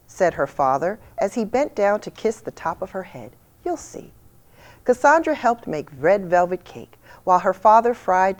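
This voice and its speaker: A woman reading a children's picture book aloud with expressive storytelling delivery. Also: mid-range voice. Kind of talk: storybook read-aloud narration.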